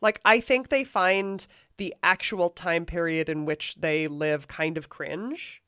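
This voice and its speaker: Lower-pitched woman on a book podcast. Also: slow, pushed and bright, smooth. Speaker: podcast panelist. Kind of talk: casual book discussion.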